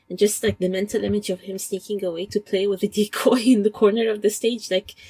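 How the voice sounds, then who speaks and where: Girl sending a voice message, kinda high husky voice, expressive, very casual.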